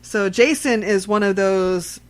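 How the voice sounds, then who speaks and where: Middle-aged female podcast host, medium pitch, unscripted chat, explains and asks leading questions. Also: a little slow. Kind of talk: casual unscripted chat about horror films.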